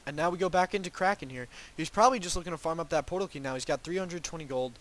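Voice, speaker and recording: A man's voice: on the high side, rapid-fire, fairly bright tone. A young man with a cold, sounding congested, casting a video game match alone, rambling and hedging with many ums and restarts. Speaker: game caster. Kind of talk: solo play-by-play esports commentary.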